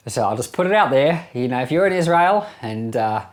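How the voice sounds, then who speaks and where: A man's voice: mid-range voice, expressive, brisk. A middle-aged man gives informal Bible commentary as a pastor, explaining things conversationally and repeating phrases for emphasis. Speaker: pastor and Bible podcast host.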